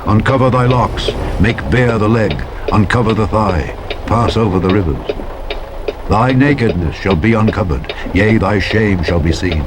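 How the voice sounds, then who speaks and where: A man with a fairly low voice reads scripture as a narrator. His delivery is solemn and declamatory, with measured, deliberate phrasing. Very loud vehicle and road noise runs behind him throughout. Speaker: audiobook narrator. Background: loud truck driving sounds from a video game.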